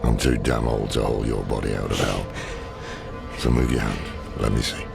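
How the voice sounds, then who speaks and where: An older male actor playing a weary, blunt, loyal old warrior in a fantasy game, gruff and gravelly. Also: fairly even intonation, moderate pace, full character voice. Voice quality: very deep, gravelly. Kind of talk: cinematic fantasy game acting. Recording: loud scary music behind.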